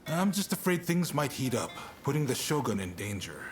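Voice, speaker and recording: An actor playing a shrewd Shogunate official in a video game, speaking in a casual, confiding way. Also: male voice, mid-range voice, very animated, normal speed, slight background noise, close to an everyday voice. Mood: persuasive. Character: shrewd Shogunate official recruiting trusted agents. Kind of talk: video game cutscene acting.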